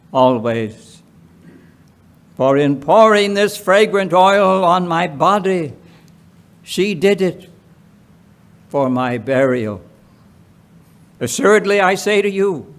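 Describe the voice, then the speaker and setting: A man reading Bible scripture aloud as solemn narration, in deliberate, measured phrases with frequent pauses. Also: a little high. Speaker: scripture reader.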